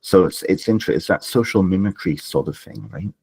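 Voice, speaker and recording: Husky-voiced Welsh man, reflective. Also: deep voice, very animated, fairly quick, a little mellow. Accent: Welsh, with northern English and Canadian traces. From Wales. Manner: earnest, musing, often asks "right?". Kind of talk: reflective conversational interview.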